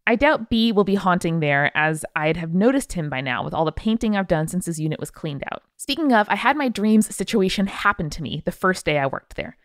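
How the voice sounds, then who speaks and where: A woman's voice: medium pitch, no room sound. Playful woman podcast co-host, dry sound. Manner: playful, excitable. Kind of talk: casual chat, reading listener mail.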